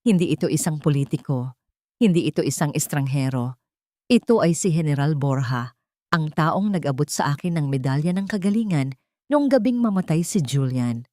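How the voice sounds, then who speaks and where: Low-pitched woman narrating a dramatic story. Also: animated, normal speed, warm tone, no room sound, studio quality. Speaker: audiobook narrator. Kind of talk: scripted dramatic first-person story narration.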